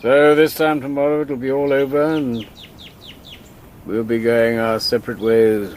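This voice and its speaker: An actor playing a courteous, sceptical older colonel turned crook in a radio drama, with a gentlemanly, questioning manner. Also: male voice, medium pitch, level tone, a little slow, slight background noise, natural voice. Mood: wistful, gentle.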